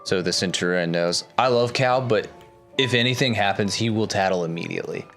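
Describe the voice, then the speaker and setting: Man playing a tabletop game over soft music. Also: on the low side, a little fast. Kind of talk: casual table chat, partly in character.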